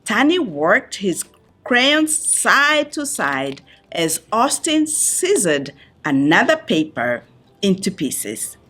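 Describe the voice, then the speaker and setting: A woman reading a picture book aloud to young listeners in an expressive storytelling style, phrasing deliberately with dramatic pauses. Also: on the high side. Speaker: children's book reader.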